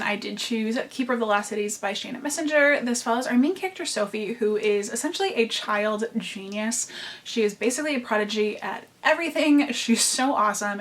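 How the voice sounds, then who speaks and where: A woman's voice: on the high side, fairly bright tone. A young woman with a nasal Midwestern American accent, a book vlogger giving enthusiastic recommendations and often repeating words for emphasis.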